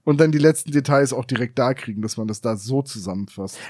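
A man's voice: medium pitch, fast, darkish tone, no room sound, studio quality. A man co-hosting a podcast, asking questions and thinking aloud in casual chat. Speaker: podcast host. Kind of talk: casual chat about an audio drama.

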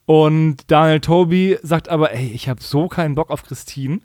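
A man's voice: a little high, normal speed, a little mellow, no room sound, studio quality. A man co-hosting a casual comedic recap podcast, slangy and mockingly acting out quoted dialogue. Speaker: podcast co-host.

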